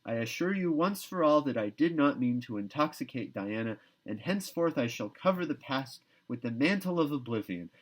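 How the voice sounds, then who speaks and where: A man's voice: medium pitch, lively intonation, normal speed, hardly any pauses, pitched above the performer's own voice, natural voice. A man voicing an exuberant, dreamy young girl who chatters effusively and grandly, in a children's novel read aloud. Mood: blissful, earnest.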